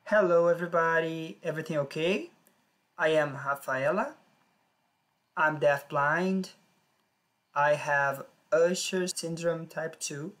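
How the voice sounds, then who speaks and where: Low-pitched woman, unhurried, plain first-person storytelling with little pauses. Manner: plain, simple sentences with short pauses.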